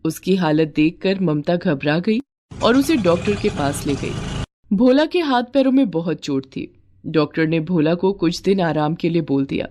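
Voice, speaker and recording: A woman's voice: lowish voice, animated, normal speed, darkish tone, slight background noise. A woman narrating a scripted moral story as a storyteller.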